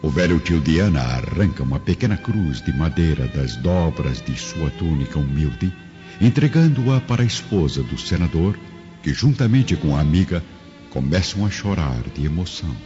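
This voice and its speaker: A man narrating a radio drama from a script in a grave, literary, deliberate manner. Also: deep voice. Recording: dull lo-fi audio, music behind.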